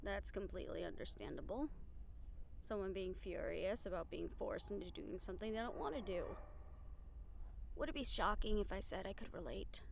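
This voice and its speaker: A woman acting a defiant, creaky-voiced captive huntress. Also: mid-range voice, moderate pace, a little hiss or hum, close to an everyday voice. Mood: dry, guarded. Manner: sardonic, stubborn. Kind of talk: dramatic audio roleplay acting.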